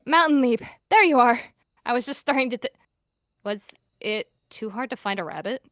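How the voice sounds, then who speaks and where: A woman's voice: a little high, animated, moderate pace, a bit bright, dry recording, clean studio sound, pitched above the performer's own voice. Hesitant young tomcat apprentice voiced by a woman. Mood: hopeful. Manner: hesitant, faltering. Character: insecure, hopeful young male apprentice cat.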